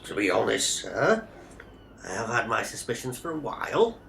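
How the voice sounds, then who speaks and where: A naive young male zoo worker, acted by a man, hesitant and earnest with his superiors in a comic audio drama. His voice is bright and swings up and down, over some background noise. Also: medium pitch, very animated, moderate pace, vocal fry, voice pushed down, heavily acted. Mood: earnest, suspicious.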